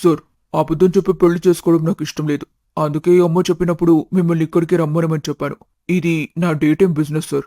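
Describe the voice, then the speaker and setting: A man's voice: on the high side, little pitch movement, fast talker, dark tone, dry recording, clean studio sound, lightly acted. A man voicing a talkative, good-hearted young snack-shop owner in a family story, polite, chatty and matter-of-fact. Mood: chatty, frank.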